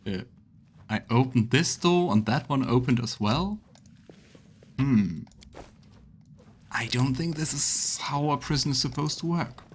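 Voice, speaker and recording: A man's voice: mid-range voice, lively intonation, measured pace, long pauses, slight background noise. A man giving a casual let's play commentary, thinking aloud as he goes. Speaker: game streamer.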